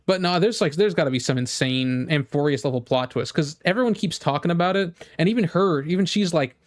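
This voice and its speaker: Higher-voiced man reacting excitedly. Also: fairly quick. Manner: excitable, rambling, slangy. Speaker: video game reaction host. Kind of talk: casual live reaction commentary. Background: music from the game trailer.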